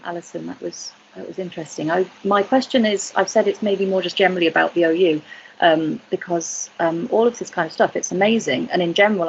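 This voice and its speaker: A woman's voice: fairly low pitch, creaky. A woman attendee speaking casually on an online webinar as she asks a question. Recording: dull, noisy webinar connection.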